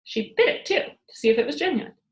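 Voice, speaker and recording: Animated woman reading to children. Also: high voice, fast. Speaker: teacher reading aloud. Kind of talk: expressive children's story read-aloud.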